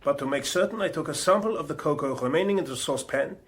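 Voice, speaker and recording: Actor as an assured, lightly pitched expert doctor. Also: male voice, lively intonation, fast, natural voice. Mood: clinical, assured. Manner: clinical, assured, precise. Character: authoritative, dismissive male doctor, toxicology expert witness. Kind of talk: radio drama testimony.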